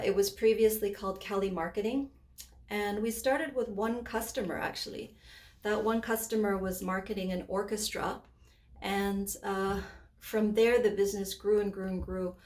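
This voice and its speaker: Woman in her forties presenting, echoey room. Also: mid-range voice. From Hong Kong and Canada. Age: 40s. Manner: conversational, with frequent fillers and restarts. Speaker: webinar presenter. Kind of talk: webinar presentation.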